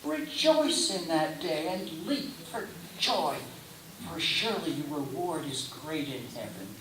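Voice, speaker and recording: A man reading scripture aloud in a solemn liturgical style, with deliberate, declamatory phrasing and pauses between short phrases. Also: on the high side, expressive. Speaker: scripture reader. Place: roomy indoor church gathering space. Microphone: distant microphone. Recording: distant mic, echoey room, clear hiss.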